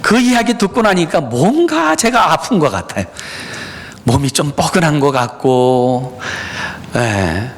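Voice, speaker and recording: A middle-aged male pastor gives a Sunday sermon in his church. He speaks to the congregation in an earnest, personal way and often pauses on "uh". His pitch moves up and down a lot as he talks. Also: big pitch swings. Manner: frequent "에" fillers, personal and earnest, addresses congregation. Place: church sanctuary.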